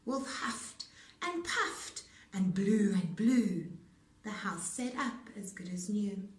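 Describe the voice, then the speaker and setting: A woman storyteller reading children's rhymes aloud in a theatrical, rhythmic, deliberate manner. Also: medium pitch, animated. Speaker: storyteller, speech-language pathologist. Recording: roomy echo, distant mic.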